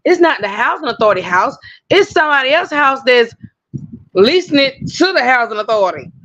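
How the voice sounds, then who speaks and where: A woman's voice: sits high, sing-song, a little fast, fairly bright tone, no room sound, lo-fi sound. Blunt, heated woman in casual chat. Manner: blunt, heated, swears freely. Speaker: host.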